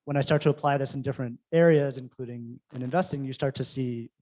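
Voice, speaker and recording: A man's voice: mid-range voice, steady tone, fast talker, darkish tone, rough recording. A man who works as a venture investor, speaking informally and conversationally on a panel.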